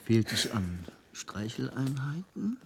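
Boyish inventor, swooping intonation. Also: male voice, mid-range voice, big pitch swings, slow pace, a little hiss or hum. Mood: coaxing. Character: playful, eager male inventor showing off his prototype. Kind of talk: radio drama acting.

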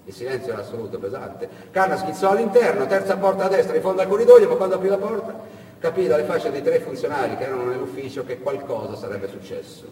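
Casting: male theatre storyteller, higher-pitched, dramatic and expressive, recorded far off in a reverberant hall. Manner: expressive, swinging from hushed to shouted, with dramatic pauses. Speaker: storyteller, theatre monologue performer. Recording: echoey hall, far from mic, noisy.

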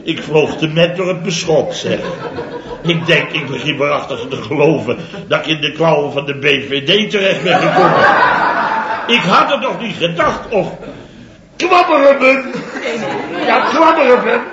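A pompous, self-important boss of a building firm, who boasts and chuckles his way through every tale. His voice sits a little high. It sounds like a dull, roomy old radio comedy recording. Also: expressive, moderate pace, crowd noise. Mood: boastful. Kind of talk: 1960s radio comedy acting.

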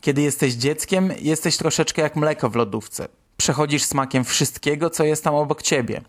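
A man's voice: on the high side, moderate pace. A man hosting and reading scripted narration. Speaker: host.

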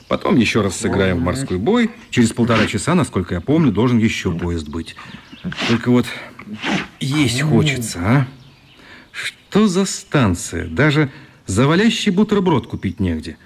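An actor playing a good-natured but exasperated grown man in a radio play, informal, animated and grumbling good-humouredly, slightly husky. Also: medium pitch, measured pace, crowd noise. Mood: rueful, grumbling.

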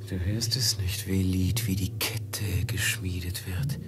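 An actor in a German radio drama playing a brooding, fearful merchant, speaking in a hushed, poetic, ominous manner. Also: male voice, deep voice, little pitch movement, slow pace, background noise. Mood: haunted, fearful. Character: brooding, fearful merchant haunted by dark premonitions.

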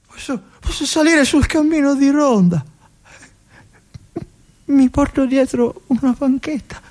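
An actor playing an ailing, forsaken veteran lieutenant at a mountain fortress in a theatrical monologue, halting and repeating his words with brooding bitterness and yearning. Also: very high-pitched. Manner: halting, repeating words, brooding, swinging from whispers to anguished cries. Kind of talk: theatrical monologue, literary stage drama acting.

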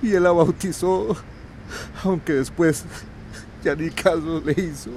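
A man's voice: on the high side, a little mellow, a little hiss or hum. An actor playing a middle-aged Central American country man, plaintive and mourning his beloved cow in a radio drama. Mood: sorrowful. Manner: slow, plaintive, pleading.

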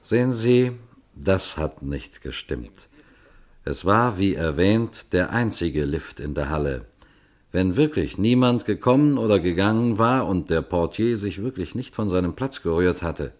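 A deep-voiced calm detective. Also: male voice. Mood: measured. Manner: measured, addresses listeners directly. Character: calm older male police detective. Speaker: detective narrator. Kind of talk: radio play narration.

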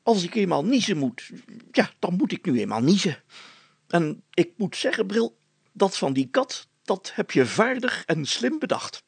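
A man's voice: sits high, very animated, measured pace, gravelly, husky, dry recording, pitched above the performer's own voice, exaggerated voice. A man voicing a pompous, fussy, easily flustered mayor in a storybook, sputtering and tripping over self-important words. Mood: agitated, relieved. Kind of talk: storybook character acting.

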